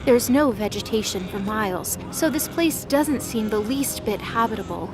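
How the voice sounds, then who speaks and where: A woman with a fairly high voice narrates a dramatic story from a script. Music plays clearly behind her narration. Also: lively intonation, moderate pace.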